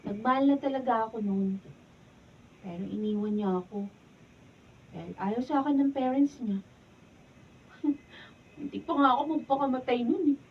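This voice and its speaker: A woman's voice: fairly high pitch, normal speed, long pauses, a little hiss or hum, lightly acted. A woman with a Filipino accent acting a supportive young best friend in a radio drama, encouraging and chatty. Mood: friendly, light. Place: radio drama recording session. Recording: distant, dull camera audio.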